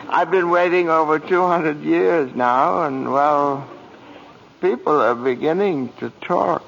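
An actor playing a timid, eager old apprentice angel in a 1940s radio drama, flustered, hesitant and deferential. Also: male voice, fairly high pitch, slow pace, vocal fry, fairly bright tone, exaggerated voice. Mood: timid, hopeful. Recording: muffled, noisy vintage radio recording.

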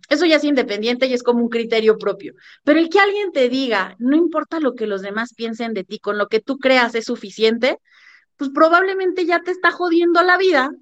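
A woman, a podcast guest arguing her point with emphatic, lively storytelling. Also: high-pitched. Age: 30s. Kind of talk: conversational podcast talk. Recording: muffled lo-fi sound.

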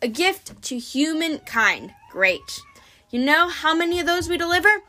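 A woman's voice: very high voice, expressive, measured pace, bright, forceful tone, voice pushed up, full character voice. A woman voicing a busy middle-aged male god, sly and easygoing, with a casual, wry manner in a storybook reading. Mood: harried, exasperated.

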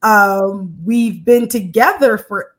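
A young woman from Texas hosts a podcast and speaks in an earnest, measured way. Her voice sits in an ordinary middle register, and she leans on small fillers between her thoughts. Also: mid-range voice. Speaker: podcast host. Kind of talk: podcast interview.

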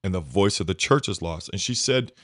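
A man hosting a conversation with a deep bass voice, effusive and emotional as he talks. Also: expressive, a little fast, fairly bright tone. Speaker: podcast host. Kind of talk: casual conversation.

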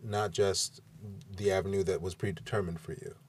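A host in a casual conversation, adding slow, musing remarks. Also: low-pitched, steady tone, husky.

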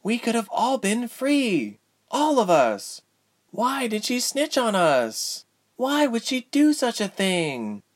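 A male narrator puts on a young woman held captive in an adventure story. The voice is lifted high and moves around a lot, with an earnest, dry, bitter edge. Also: huge pitch swings, slow pace, exaggerated voice. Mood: angry disbelief.

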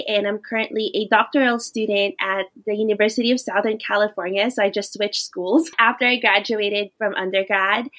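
Bright-voiced young woman from the Philippines. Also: fairly high pitch. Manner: earnest, articulate storytelling. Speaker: guest, teacher and doctoral student. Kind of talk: podcast interview.

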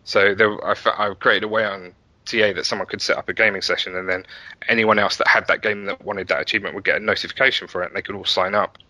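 A man's voice: fairly low pitch, fairly even intonation, fast talker, bright, forceful tone, dry recording, poor audio. A middle-aged man talking casually as an interview guest, explaining how he built something in a self-deprecating way. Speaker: interview guest, website founder and developer.

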